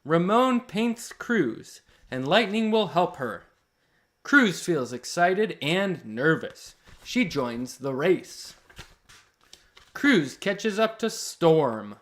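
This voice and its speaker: Animated man reading slowly to children. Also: on the high side. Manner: slow, simple, expressive reading for children. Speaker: host reading children's picture books aloud. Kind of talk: read-aloud storytelling with friendly asides to listeners.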